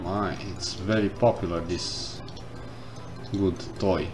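A man commenting casually on a game as he plays, reading the game text aloud and thinking out loud. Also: low-pitched, steady tone, slow. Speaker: gameplay streamer. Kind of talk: casual let's-play commentary. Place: indoors at a computer. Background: game music.

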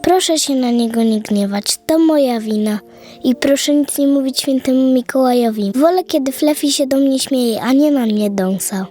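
High, soft-toned little girl, played by a woman. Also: moderate pace, lightly acted. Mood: polite, pleading. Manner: pleading, polite, earnest. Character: polite, pleading little girl, eager and sweet. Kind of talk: children's audio play acting. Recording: music under the voice.

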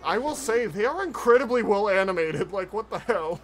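A man's voice: sits high, lively intonation, a little fast. A man doing casual streamer commentary as he reacts to a video, close on the mic. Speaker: streamer, VTuber host. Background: trailer music and sounds.

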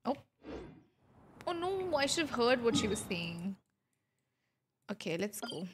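High-voiced young woman streaming a game. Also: sing-song, measured pace, background noise. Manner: playful, reactive asides to chat. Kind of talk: casual livestream reaction. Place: small indoor streaming room. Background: game music.

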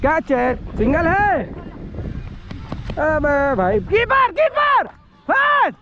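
A man with a very high, bouncy voice shouts encouragement and instructions across an outdoor cricket ground. The field recording is noisy, with traffic and ambience clearly in the background. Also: drawn-out words, muffled recording. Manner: excitable, calls out instructions to teammates. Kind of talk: shouted on-field calls and banter.

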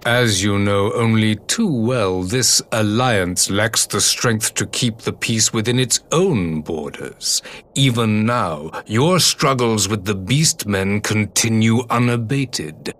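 Imperious video game emperor: lowish voice, cold, formal, unhurried delivery. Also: male voice, a bit bright, slight background noise. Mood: disdainful. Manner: formal, haughty, measured.

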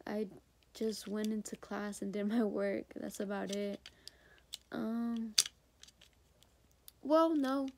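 A sick teenage girl with a hoarse voice, talking hesitantly to her phone camera in her bedroom for a school video diary. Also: steady tone, slow, pauses often, noisy background. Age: teens. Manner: hesitant, many ums, soft and low-key. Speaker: high school student. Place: bedroom indoors. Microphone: phone or laptop camera at arm's length.

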